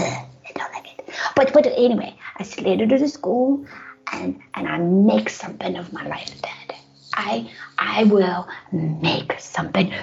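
Woman acting a frail, stammering, creaky little boy. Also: medium pitch. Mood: frail, determined. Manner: stammering, repeats words, rambling. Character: sickly, hard-up young boy, naive and devoted to daddy. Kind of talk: comedic audio play melodrama. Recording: dull lo-fi audio with music.

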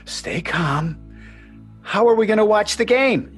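An actor playing an aggrieved, sentimental ex-husband and sports fan in a radio play, speaking plaintively. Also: male voice, high voice, animated, measured pace, slightly rough, soft background music, full character voice. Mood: indignant.

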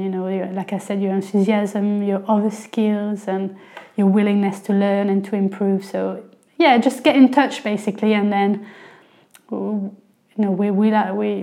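A woman with a French accent talks casually in English, from northern France. She chats freely, with lots of fillers and restarts, at a middle pitch. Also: warm tone. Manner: chatty, many fillers and restarts. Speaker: guest, language coordinator. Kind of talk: casual podcast conversation.

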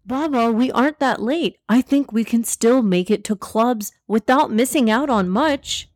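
A woman's voice: medium pitch, animated, measured pace, a little mellow, no room sound, clean studio sound, close to an everyday voice. Woman voicing an earnest, eager young boy. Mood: hopeful. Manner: pleading, reasoning. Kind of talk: children's storybook dialogue.

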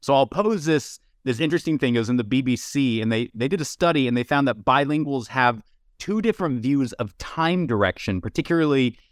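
A man hosting a casual podcast, bantering and reacting quickly with jokes. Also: medium pitch, lively intonation, no echo, studio quality. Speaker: podcast host.

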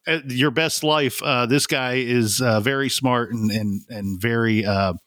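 A man's voice: medium pitch, a little slow, fairly bright tone, no echo, studio quality. A man co-hosting a casual podcast chat, talking earnestly and chattily with many fillers. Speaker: podcast co-host.

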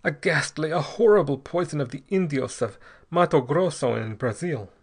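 Man acting a gasping, desperate poisoned gentleman. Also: a little high, breathy edge, voice pushed up. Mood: horrified, imploring. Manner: halting, formal, pleading speech. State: gasping, unsteady. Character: poisoned Argentine gentleman, desperately polite, gasping, fighting madness.

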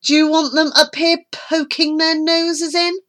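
A woman reading aloud and voicing a man who tries to calm an angry woman down. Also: high-pitched, unhurried, pitched above the performer's own voice. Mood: defensive. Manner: reasoning, calming. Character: shrugging, placating man.

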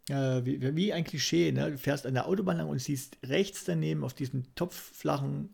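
A man's voice: a little high, moderate pace, gravelly, darkish tone, slightly rough. A man hosting a podcast in casual conversation, rambling and reflective, often checking for agreement with little tag questions. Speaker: podcast host.

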